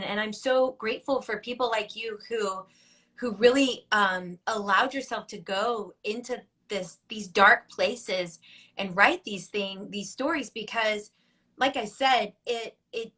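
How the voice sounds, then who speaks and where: A woman's voice: fairly high pitch, bright, forceful tone. A woman hosting a book club interview, asking her questions with enthusiasm and repeating words as she goes. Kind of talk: conversational interview.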